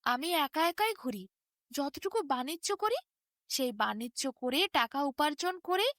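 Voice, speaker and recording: A woman's voice: sits high, a bit bright, no echo, clean studio sound, exaggerated voice. A woman voicing a mild, lonely male merchant who has lost his memory, hesitant and bewildered, in a fairy tale.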